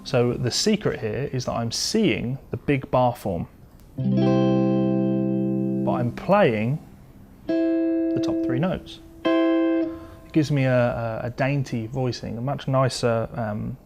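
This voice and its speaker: Male guitar teacher over loud guitar playing. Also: mid-range voice, slow pace, long pauses. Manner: explains step by step, talking between demonstrations. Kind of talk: instructional guitar lesson, informal explanation. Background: electric or acoustic guitar being played.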